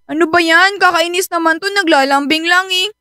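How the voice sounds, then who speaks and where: A very high, bright voice playing a clingy young Filipino wife in a radio drama. She talks in whiny, cutesy baby talk, close and dry over faint music. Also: a little fast, dry recording. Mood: pouty.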